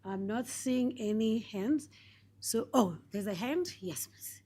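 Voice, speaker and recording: Woman chairing a session, slightly high voice but husky, short procedural bits. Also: lively intonation, slow, dark tone. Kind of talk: brief procedural chairing of a meeting.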